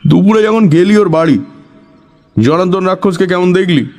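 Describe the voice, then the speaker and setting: A man's voice: high-pitched, normal speed, a little mellow, soft background music. A man playing an eccentric, bossy village know-it-all in an audio story, scolding and conspiratorial with dramatic emphasis. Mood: knowing, excited. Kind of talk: Bengali audio story acting.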